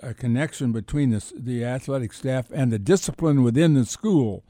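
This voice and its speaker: Mellow male radio co-host. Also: medium pitch, normal speed, no echo, studio quality. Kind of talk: casual sports talk radio.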